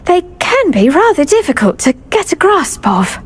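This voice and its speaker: A woman's voice: very high voice, big pitch swings, moderate pace, a little hiss or hum. A woman voicing a cheerful, kindly British herbology professor in a video game, effusive and encouraging in her way of talking. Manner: effusive, encouraging, plant puns. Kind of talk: video game character acting.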